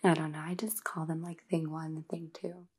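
Woman as a breathy, snarky young vampire. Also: deep voice, little pitch movement, brisk, darkish tone. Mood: dismissive. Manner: casual, sarcastic. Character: snarky, dismissive modern young vampire housemate. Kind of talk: whispered ASMR roleplay.

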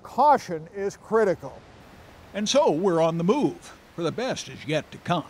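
A man's voice: sits high, big pitch swings, measured pace, darkish tone, slight background noise. A middle-aged man hosting a travel show, narrating in an upbeat, folksy way, sometimes on camera outdoors. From Oregon. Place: outdoors in woods and by a roadside.

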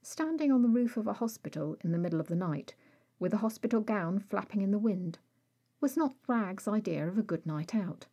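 A woman's voice: medium pitch, dark tone, slightly rough. A woman reading scripted fiction as a story narrator.

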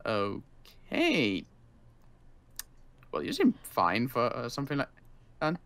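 Worn-out, grudging male survivor for game roleplay, man acting; medium pitch, dry, halting delivery. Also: wildly swooping pitch, vocal fry, a bit bright, no room sound, full character voice. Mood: weary, grudging. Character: weary, grudging injured male survivor of a zombie apocalypse. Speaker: roleplay player.

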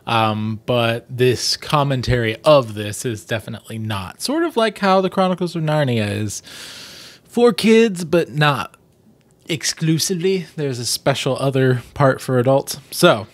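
Male storybook reader, 30s, mid pitch, expressive and chatty, close dry mic. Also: measured pace, no echo. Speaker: host reading a children's book aloud. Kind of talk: storybook reading with casual commentary. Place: small plain room indoors. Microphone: close desktop microphone.

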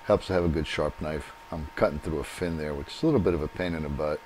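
A man's voice: extremely low pitch, quick pace, a little mellow, slight background noise, rough recording. A man hosting a do-it-yourself video, explaining each step as he works with his hands at a workbench in his home workshop. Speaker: DIY channel host. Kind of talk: hands-on how-to demonstration.

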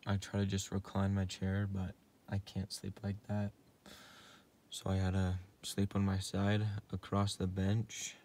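A young man vlogging a solo camping trip in a laid-back, deadpan way, talking to a handheld action camera from his hammock and his truck. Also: low voice, a little slow. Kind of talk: casual solo camping vlog. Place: outdoors in a hammock, then inside a truck cab. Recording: action camera with outdoor noise.

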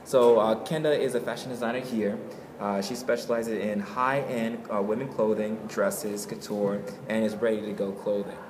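A man's voice: lowish voice, fairly even intonation, normal speed, few pauses. A young man hosting a casual on-camera interview at a fashion event. He is upbeat and keeps prompting his guest with questions. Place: indoors in a hotel at a fashion show. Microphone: camera mic at arm's length. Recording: echoey room with background noise.

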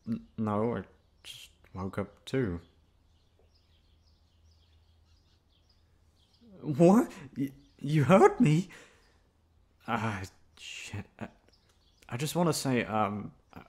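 A man playing a shy, flustered, gentle young classmate in an ASMR roleplay, hesitant and stammering. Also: mid-range voice, voice pushed up. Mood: flustered, apologetic. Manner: hesitant, stammering, soft-spoken.

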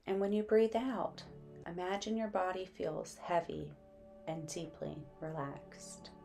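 Slow, soothing woman guiding meditation over music. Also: on the low side, steady tone, vocal fry. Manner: gentle, soothing, unhurried. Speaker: meditation guide. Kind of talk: guided meditation narration.

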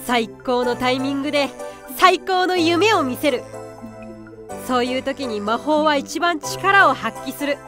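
A woman's voice: high voice, a little slow, music in the background. A woman voicing a small, confident unicorn guardian in an anime-style voice drama, boyish and theatrical. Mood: eager, theatrical. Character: small cute unicorn guardian beast, confident scheming mentor.

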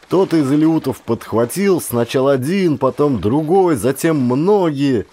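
A man narrating a historical adventure audiobook as a scripted, expressive dramatic storytelling. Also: fairly high pitch, a little slow. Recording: clear ambient sound effects behind voice. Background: ambience sound effects.

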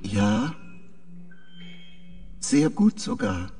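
A man acting as a high, bright-less, creaky male artificial mind from a sci-fi radio play. He is confused and dreamy, speaking slowly and haltingly. The voice sounds a little distant, with music behind it. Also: huge pitch swings, heavily acted. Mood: confused, subdued. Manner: halting, short hesitant answers. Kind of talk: science fiction audio drama.

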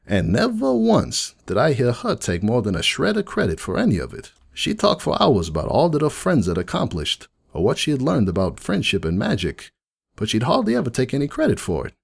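A man voicing a jaded, rueful middle-aged pony who runs a donut shop, rambling folksily in a confiding dramatic monologue with a gravelly voice. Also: mid-range voice, huge pitch swings, a little fast, exaggerated voice. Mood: fond, nostalgic. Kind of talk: dramatic first-person monologue reading.

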